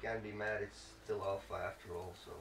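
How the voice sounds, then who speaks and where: A man's voice: fairly low pitch, flat delivery, a little fast, music in the background. A man giving casual let's play commentary on a game, swearing and muttering as he reacts. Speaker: gaming video host. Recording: roomy, distant mic, clear background noise.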